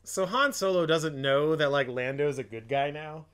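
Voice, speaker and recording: A young man from Ohio, a podcast co-host going hoarse, rambling through crude jokes and fillers while commenting on a film. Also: a little high, moderate pace, a bit bright. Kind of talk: comedic film commentary chat.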